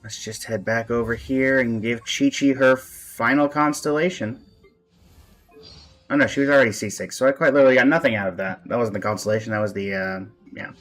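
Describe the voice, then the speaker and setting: A man's voice: mid-range voice, fairly even intonation, fairly quick, fairly bright tone. Male game streamer over background music. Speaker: live streamer, channel host. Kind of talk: casual live game-stream commentary. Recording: music playing behind the voice.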